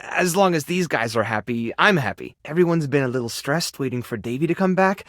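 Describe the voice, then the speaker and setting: A man playing a kind, modest, overworked animal rescue keeper in a video game, speaking in a natural, soft-hearted and hesitant way. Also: a little high, expressive, fairly quick, no room sound, studio quality. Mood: friendly, caring. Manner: soft-hearted, self-effacing, hesitant with small sighs and chuckles. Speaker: voice actor in a dating game. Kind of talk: video game character dialogue, natural and conversational.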